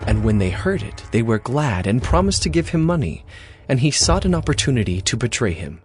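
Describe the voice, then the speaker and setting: A male narrator reading scripture aloud in a steady, expressive way. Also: medium pitch, a little fast. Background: music score.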